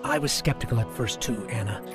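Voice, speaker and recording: An actor playing a small, loyal robot companion in a video game, earnest, gentle and a little hesitant. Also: male voice, lowish voice, a little fast, lightly acted. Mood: reassuring. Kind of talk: dramatic video game acting. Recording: loud music under the voice. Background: loud music.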